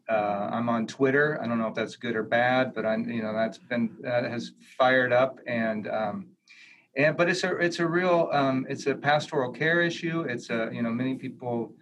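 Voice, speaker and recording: A man speaking as a pastor in an online panel discussion, thoughtful and measured, pausing often with fillers. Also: mid-range voice. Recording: noisy video call audio.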